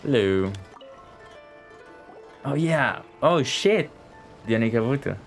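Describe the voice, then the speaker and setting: A man's voice: medium pitch, animated, slow pace, creaky. A man giving casual live game commentary as a streamer, informal and rambling, with playful asides. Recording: game music behind the voice.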